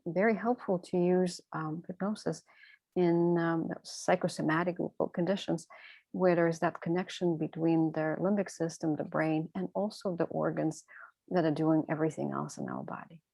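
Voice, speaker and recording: A woman co-presenting a webinar, explaining conversationally in halting phrases with everyday examples. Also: low-pitched, steady tone, creaky, warm tone, no room sound. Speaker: co-presenter, physician.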